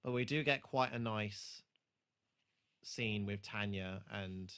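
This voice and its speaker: A man's voice: fairly low pitch, slow, fairly bright tone, clear, resonant tone. A man co-hosting a podcast, chatting casually and swearing freely about a TV show. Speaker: podcast host.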